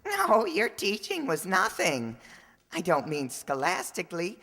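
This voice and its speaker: A woman's voice: on the low side, wildly swooping pitch, a little slow, a bit bright, full character voice. Woman playing a gushing teenage boy in a reverberant hall. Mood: earnest, grateful. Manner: gushing, naively earnest. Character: earnest, gushing, tactless teenage high school boy. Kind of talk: old-time radio comedy acting.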